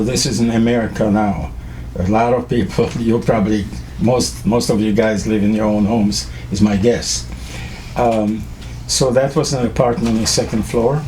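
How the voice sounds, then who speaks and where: An older man from Czechoslovakia whose first language is German, telling students about his early life in a halting way, with many fillers and restarts. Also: fairly low pitch, darkish tone, slight background noise, rough recording. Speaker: Holocaust survivor speaking to students. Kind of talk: testimony to a school class.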